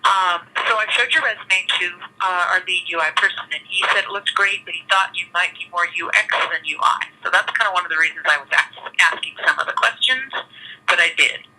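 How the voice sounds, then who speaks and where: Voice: mid-range voice, fairly quick, sharp tone, a little hiss or hum. An interviewer on a phone line, explaining things informally in a loose, hesitant way. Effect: telephone. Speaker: job interviewer at a tech startup. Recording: tinny, harsh telephone line.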